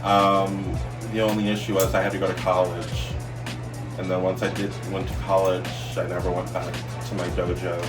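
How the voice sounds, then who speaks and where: A man's voice: low voice, completely flat delivery, moderate pace. A young man who teaches history, speaking earnestly with many hesitations. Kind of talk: interview clip. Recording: distant mic with music bed.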